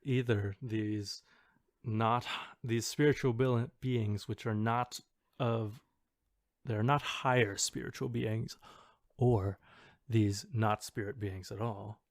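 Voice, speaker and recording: A man's voice: fairly low pitch, steady tone, slow pace, no echo, clean studio sound. A man co-hosting a casual podcast, chatting and reading posts aloud in an amused, sometimes hesitant way. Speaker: podcast co-host.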